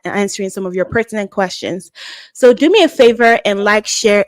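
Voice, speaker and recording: A woman's voice: mid-range voice, clean tone, dry recording. A young woman hosting a podcast, upbeat and enthusiastic as she introduces and questions her guest. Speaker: podcast host. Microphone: video call.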